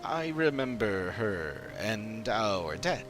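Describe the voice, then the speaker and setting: A man voicing a proud, solemn creature from a fantasy game, speaking in halting, simple phrases. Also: fairly low pitch, big pitch swings, music in the background. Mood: grave, thoughtful. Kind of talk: game dialogue voiced by a streamer.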